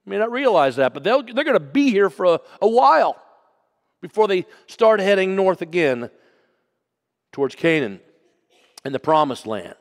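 A man preaching a sermon as pastor, telling a personal story and moving from loud to hushed. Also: sits high, very animated, moderate pace, pauses often, big-room sound. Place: church sanctuary.

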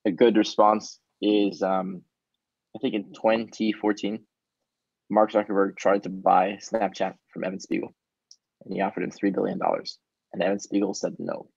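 A man's voice: lowish voice, normal speed, gravelly, breathy edge, no room sound. A young man whose first language is Hebrew, a startup founder answering interview questions energetically on a video call. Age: young adult. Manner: energetic, gesturing while he talks. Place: small room indoors. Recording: dull, dry video call audio.

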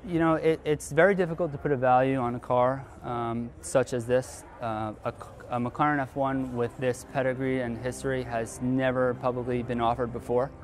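A man in his thirties, an auction car specialist, explaining in an interview in a halting, measured way. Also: mid-range voice, steady tone. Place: large tent with cars on display.

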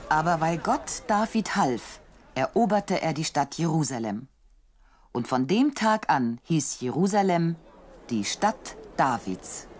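Female narrator for a story audio drama: low register, very lively intonation, scripted delivery. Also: slow pace, a little hiss or hum.

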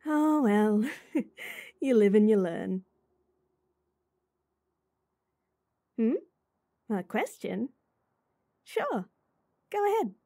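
A woman voicing a sweet, bubbly young rental girlfriend in an intimate roleplay audio, giggly, chatty and gently reassuring. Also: fairly high pitch, sing-song, very slow, pauses often, dry recording, clean studio sound, heavily acted. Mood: delighted, teasing. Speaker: voice actor.

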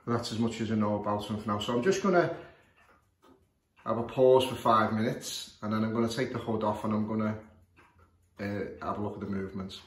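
A man's voice: lowish voice, a little fast. A man with a Liverpool accent, a clock repairer, talking casually to camera about a clock, hesitant with many fillers and restarts. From Liverpool, England. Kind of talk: casual show-and-tell commentary. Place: room full of clocks, indoors. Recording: echoey room with faint noise.

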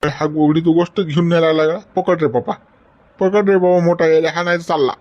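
A man's voice: on the high side, steady tone, a little fast, muffled recording, a crowd in the background, natural voice. An actor playing an elderly male friend who coaxes and scolds in a Varhadi Marathi accent. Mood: coaxing. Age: older. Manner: coaxing, chiding with repeated "गड्या".

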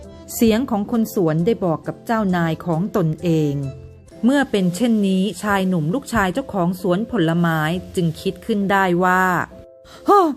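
A woman, a mother and storyteller, narrating a bedtime story for children in a gentle manner. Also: medium pitch, moderate pace, faint music behind. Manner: gentle, sing-song with children.

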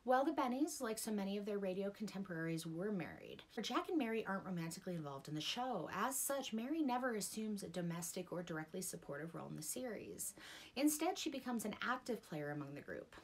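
A woman's voice: medium pitch, very animated, fast, breathy edge, poor audio. Middle-aged woman narrating from a script. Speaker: video essay host.

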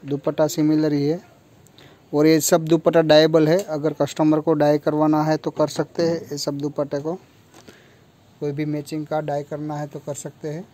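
A man's voice: a little high, monotone, normal speed, dark tone. A young man from India in a clothing store, listing garment features in a plain, matter-of-fact showcase, recorded on a handheld phone. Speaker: kurti factory seller. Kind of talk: product showcase walkthrough. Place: clothing store with fabric shelves.

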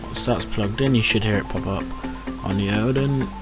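A man's voice: fairly low pitch, normal speed. Man explaining, music underneath. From United Kingdom. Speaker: tech tutorial host. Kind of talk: casual step-by-step screen tutorial.

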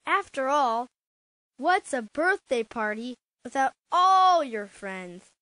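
A woman playing an eager, friendly young schoolboy in an animated children's storybook game. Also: high voice, slow, no room sound, heavily acted. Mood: warm, sincere.

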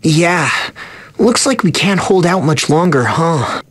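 A woman playing a calm, world-weary adventurer in a game, thoughtful and subdued. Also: low-pitched, slightly rough, no room sound, full character voice. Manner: measured, subdued.

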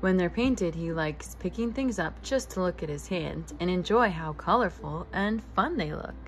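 A woman's voice: on the low side, lively intonation, moderate pace. A woman in her thirties reading a picture book aloud as a preschool teacher, expressively addressing young children. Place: living room with couch, indoors.

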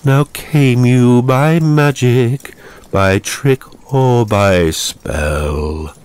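A man's voice: medium pitch, drawn-out words, a little mellow, smooth, pitched above the performer's own voice. A man voicing a drunk young English nobleman, jolly and mocking, with a boisterous, slurred, teasing manner in a horror story. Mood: maudlin singing.

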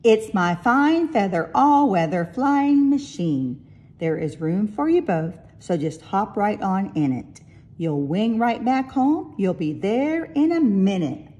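A woman voicing an eager, playful talking cat guide from a storybook in an excited, urging manner. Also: medium pitch, slow pace. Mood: eager, hurried.

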